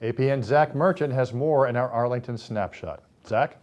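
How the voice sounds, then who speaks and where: A man's voice: medium pitch, moderate pace, a little mellow. An American man presenting scripted local news as the anchor.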